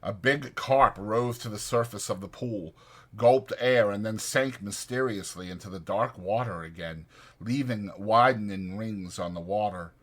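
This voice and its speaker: A man's voice: on the low side, measured pace, fairly bright tone. A man reading literary fiction as a dramatized audiobook narrator.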